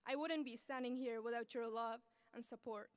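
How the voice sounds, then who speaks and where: A young woman from Afghanistan speaks as a graduating student, her voice high and smooth. She delivers an earnest, measured speech into a podium mic. The sound is close and dry. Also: steady tone, no room sound. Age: young adult. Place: large ceremony venue.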